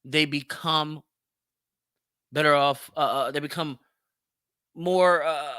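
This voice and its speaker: Bright, forceful man giving blunt commentary. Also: a little high, steady tone, slow pace, no room sound, studio quality. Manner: blunt, heavy swearing; emphatic, repeats phrases for stress. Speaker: podcast host. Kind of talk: opinionated solo commentary on celebrity news.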